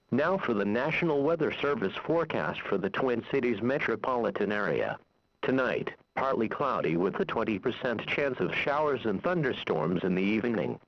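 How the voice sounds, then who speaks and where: A man's synthetic, formal, impersonal voice reading a scripted weather forecast as a radio announcer. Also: medium pitch. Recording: dull, lo-fi radio broadcast.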